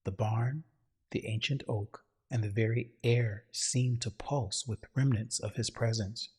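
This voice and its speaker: A husky-voiced man reading a dramatic scripted story in a solemn, grandiose way. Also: mid-range voice, fairly even intonation, measured pace. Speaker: narrator.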